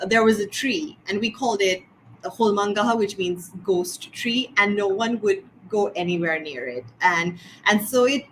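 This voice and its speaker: Sri woman, author guest on a video call; reflective, mid-pitched, stops and restarts as she thinks. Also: fairly bright tone, a little hiss or hum, poor audio. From Sri Lanka. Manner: thoughtful, many fillers and restarts. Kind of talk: casual interview answers.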